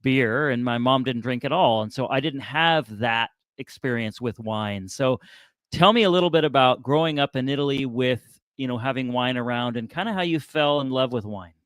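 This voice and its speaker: A middle-aged man hosts a podcast, asking relaxed, friendly questions. His voice is dry and close, with no room sound. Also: medium pitch, moderate pace, clear, resonant tone, no room sound. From California. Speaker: podcast host. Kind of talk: casual interview questions.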